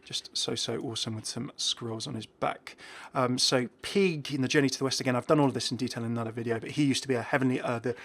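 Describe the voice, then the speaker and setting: A man's voice: medium pitch, fairly even intonation, quick pace, few pauses, fairly bright tone. A man giving casual, enthusiastic game commentary in an informal, chuckly way. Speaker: video creator presenting game content.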